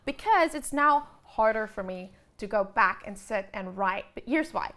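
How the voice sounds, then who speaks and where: A woman's voice: mid-range voice, animated, moderate pace, fairly bright tone. A woman in her thirties with a South African accent, chatting informally and with animation as a podcast guest. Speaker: podcast guest, author and musician. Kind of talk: casual chat. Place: small furnished indoor set with curtains.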